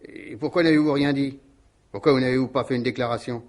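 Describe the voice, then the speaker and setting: An actor in a French radio mystery play, playing a courteous, hesitant, well-meaning village gendarme who gets easily flustered. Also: male voice, medium pitch, fairly even intonation, fast talker, a little mellow, lightly acted. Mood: flustered, concerned.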